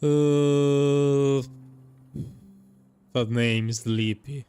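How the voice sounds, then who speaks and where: A man's voice: medium pitch, steady tone, drawn-out words, dark tone, smooth. A man giving casual, jokey live commentary as a gaming streamer. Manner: jokey, says "lol" aloud. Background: faint game music.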